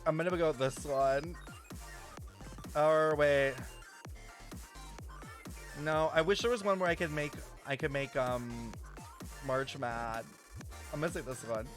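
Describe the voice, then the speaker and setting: A man's voice: fairly high pitch, unhurried, pauses often, faint music behind. A man streaming a game with casual, slangy, incredulous live commentary.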